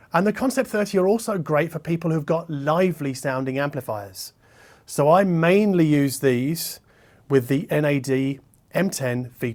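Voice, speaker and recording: Guy doing a chatty hifi review, medium pitch, thinks out loud and stresses the big words. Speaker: host reviewing audio gear. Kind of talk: conversational product review to camera. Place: smallish listening room.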